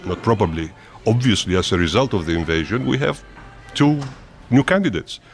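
A man answering interview questions at length in a measured, formal way, often restarting his phrases. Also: fairly low pitch, sing-song. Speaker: interviewed diplomat.

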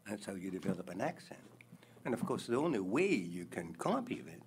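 An older man with a Scottish accent explaining things deliberately in a casual chat, the host's father. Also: low voice, very animated, slight background noise. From Scotland.

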